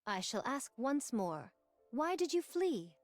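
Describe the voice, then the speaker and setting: A woman's voice: high-pitched, sing-song, takes it slowly, no echo, soft background music, full character voice. Stern, archaic-speaking warrior woman. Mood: stern questioning. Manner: archaic formal diction, probing and reproachful. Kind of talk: scripted game voice acting.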